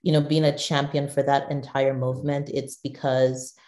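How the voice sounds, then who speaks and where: A middle-aged woman from Nigeria answers interview questions in a low, mellow voice. She speaks thoughtfully, circling back and restarting as she works out her points. The sound has an echoey, roomy quality. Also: level tone, moderate pace. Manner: thoughtful, with frequent restarts and fillers. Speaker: guest, digital executive. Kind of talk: conversational interview.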